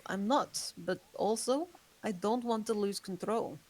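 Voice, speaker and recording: A woman's voice: mid-range voice, a little slow, a little mellow, lightly acted. A woman reading the part of an ageless female vampire, guarded and remorseful, in a table read. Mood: dry.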